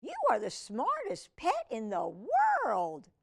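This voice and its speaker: A woman reading a storybook voices an excited young boy, eager and proud, with emphatic delivery. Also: on the high side, slow pace, vocal fry, heavily acted. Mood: amazed.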